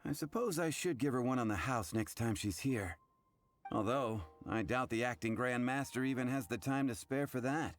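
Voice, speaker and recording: A man's voice: medium pitch, normal speed, natural voice. A man playing a blunt, sardonic tavern bartender in an anime video game. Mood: sheepish. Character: blunt, wry male tavern bartender, adult. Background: music.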